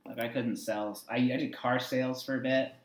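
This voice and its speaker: A man's voice: mid-range voice, fairly even intonation, a little fast. Young man talking in an echoey room. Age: 20s. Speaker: interview guest. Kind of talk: casual conversational storytelling.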